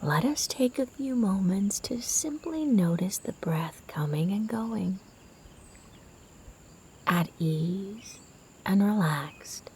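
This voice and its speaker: A woman guiding a sleep meditation in a soft, breathy, half-whispered voice, soothing and gentle in her delivery. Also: mid-range voice, animated, takes it slowly, a little hiss or hum. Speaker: meditation guide. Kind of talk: guided sleep meditation narration.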